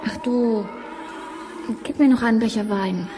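A woman's voice: a little high, unhurried, natural voice. An actress playing a bewildered, wistful woman taken for a goddess, questioning hesitantly in a science fiction radio play. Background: music.

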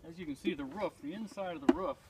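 A man in his thirties explaining step by step as he works outdoors in spring woods, talking in a plain, methodical way with frequent pauses. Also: fairly high pitch, background noise. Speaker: beekeeper vlogger. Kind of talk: casual demonstration. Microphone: far camera on a tripod. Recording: distant outdoor mic with clear background ambience.

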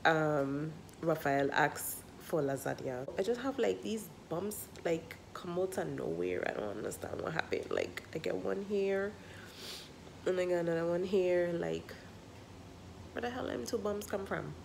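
A woman's voice: fairly low pitch, expressive, a little slow, creaky, slight background noise. A woman in her thirties, congested and coughing from a cold, chatting casually and rambling to her phone camera, first at home and then in a parked car. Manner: chatty, rambling, with many fillers. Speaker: vlogger. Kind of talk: casual vlog chat to camera. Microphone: phone camera at arm's length.

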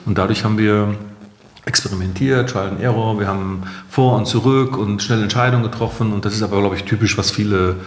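A man's voice: fairly low pitch, steady tone, brisk. A middle-aged man answering interview questions conversationally, as a company co-founder and guest. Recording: roomy echo.

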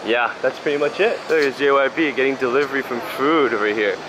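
A man's voice: medium pitch, fast talker. A man in his twenties chatting casually and playfully as he films a walking vlog outdoors. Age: 20s. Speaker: vlog host. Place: outdoors on busy city streets. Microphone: handheld camera at arm's length. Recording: loud city street noise.

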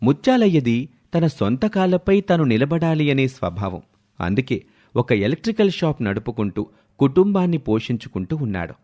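Mid-pitched male storyteller. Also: big pitch swings, normal speed, mellow. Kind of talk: scripted story narration.

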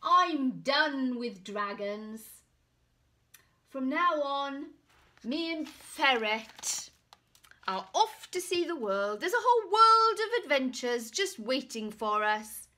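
Woman playing a bold storybook knight, loudly exclaiming. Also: sits high, very animated, voice pushed up, exaggerated voice. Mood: cheerful resolve. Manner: declamatory, exclaiming with drawn-out emphasis. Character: bold, hearty male knight eager for battle.

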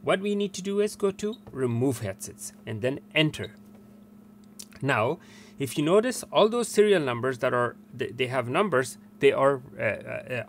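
An older man with a mid-pitched voice walks through technical instructions step by step. He explains in a halting manner, recorded in a small workroom with clear background noise. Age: older, around 60. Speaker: tutorial presenter, technician. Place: small cluttered indoor workroom. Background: steady room noise.

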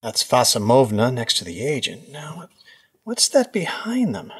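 A man's voice: mid-range voice, huge pitch swings, moderate pace, slightly rough, heavily acted. A man acting in an audio drama as an earnest, puzzled government investigator.

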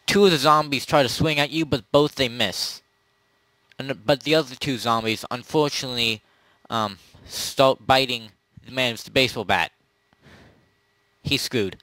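A man improvising casual narration as game master of a role-play, often halting and correcting himself. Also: medium pitch, pushed and bright, dry recording, poor audio.

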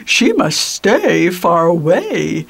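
A woman's voice: lowish voice, huge pitch swings, drawn-out words, creaky, full character voice. A woman voicing a stern animal schoolteacher from a storybook, with an emphatic, commanding manner.

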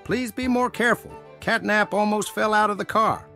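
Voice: medium pitch, steady tone, normal speed, vocal fry, bright, forceful tone. A performer voicing a fretful, polite male cartoon dog in a comic dub, fussy and pleading, with music behind. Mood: worried. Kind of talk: comic dub character acting.

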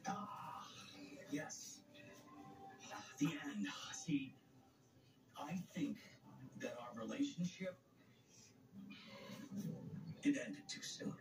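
An actor playing a coaxing middle-aged ex-boyfriend in a soap opera. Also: male voice, fairly high pitch, lively intonation, very slow, pauses often. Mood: wry, hesitant. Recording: TV played into distant mic, echoey, music.

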